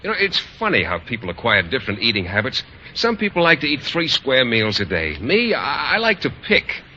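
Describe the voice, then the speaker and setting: An actor playing an earnest, naive American biology teacher in an old radio sitcom, boyish and a bit hesitant. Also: male voice, medium pitch, wildly swooping pitch, fairly quick, bright, forceful tone, full character voice. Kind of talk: 1940s-1950s American radio sitcom acting. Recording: muffled old radio broadcast, faint noise.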